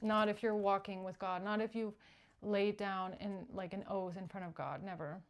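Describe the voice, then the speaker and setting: A woman with a medium-pitched voice gives a tarot reading as the host. She speaks spontaneously and directly to her viewers, leaving pauses and repeating short phrases to stress them. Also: fairly even intonation. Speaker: tarot reader and channeller, show host.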